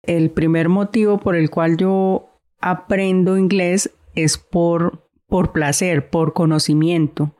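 Colombian woman, soft Santander accent, lowish voice, measured and reflective. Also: steady tone. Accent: Santander Colombian Spanish, softened. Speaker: guest. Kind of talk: casual conversation.

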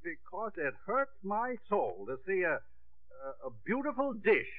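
Grumbly old grandpa, high voice, old radio comedy, thin tinny recording. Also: male voice, very animated, a little slow. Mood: grumbling affection. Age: older. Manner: grumbling, emphatic. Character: crotchety, meddling old grandfather with a soft heart. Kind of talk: 1950s radio comedy acting.

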